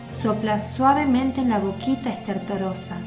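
A woman giving measured, scripted narration for a radio drama. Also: a little high. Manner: measured, descriptive. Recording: echoey with music bed.

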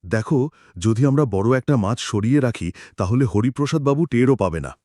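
An actor voicing secretive but sympathetic village fishermen in a cartoon. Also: male voice, medium pitch, animated, fairly quick, warm tone, no room sound, clean studio sound, close to an everyday voice. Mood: conspiring. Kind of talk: cartoon voice acting.

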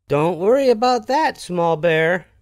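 A man voicing a gentle, reassuring mother bear from a storybook, in a soothing, motherly way. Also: sits high, expressive, a little slow, no echo, studio quality, pitched above the performer's own voice.

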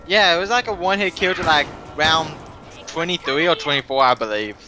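A man's voice: on the high side, a little fast, bright, forceful tone, soft background music. A young man playing a co-op zombie game with a friend, laid-back, giving short replies and laughing easily. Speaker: co-op gaming partner. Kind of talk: casual co-op gameplay chat.

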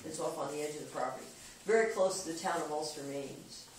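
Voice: medium pitch, fast talker. A water department official giving a casual, plain-spoken report at a board meeting, thinking aloud with frequent restarts. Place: meeting room around a conference table. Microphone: far camera. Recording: echoey room, distant camera, background noise.

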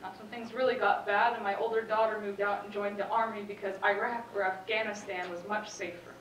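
Battered wife teenage woman acting, haunted, self-blaming, stage monologue, bright forceful voice, distant mic, some background noise. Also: medium pitch, flat delivery, brisk, lightly acted. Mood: resigned, self-blaming. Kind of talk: high school stage monologue. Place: indoor theatre stage. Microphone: far camera. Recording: echoey noisy theatre, distant microphone.

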